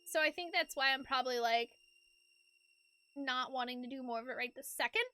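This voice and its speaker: A woman's voice: high voice, a little fast, bright, forceful tone, clear, resonant tone, dry recording. A woman chatting casually on a game livestream, rambling and hesitant in an informal way. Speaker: streamer doing a game playthrough.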